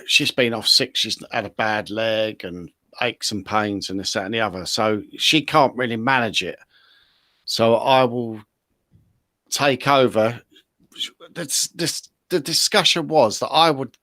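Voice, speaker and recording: A man with an average-pitched voice, recorded dry. He co-hosts in a loose, casual, rambling way. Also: measured pace, bright, forceful tone, no room sound.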